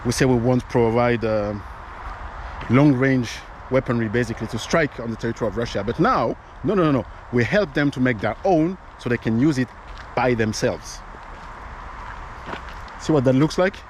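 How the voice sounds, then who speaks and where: A man hosting an opinion channel talks in a loose, halting way, full of "uh" and restarts, in English that is not his first language. He is recorded outdoors, with clear traffic and street noise behind him. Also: medium pitch. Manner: conversational, frequent fillers and restarts. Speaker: political commentary host. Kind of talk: casual commentary to camera.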